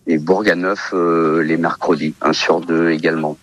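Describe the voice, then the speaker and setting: A man's voice: deep voice, level tone, moderate pace, husky, highs cut off. A man in his fifties from Portugal, a radio interview guest who talks casually with many hesitations and fillers. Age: 50s. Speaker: interview guest, olive oil seller.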